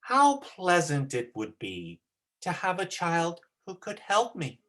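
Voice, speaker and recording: A drama teacher voicing a wistful, resigned old male woodcutter in short, expressive phrases. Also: medium pitch, slow pace, voice pushed up, exaggerated voice.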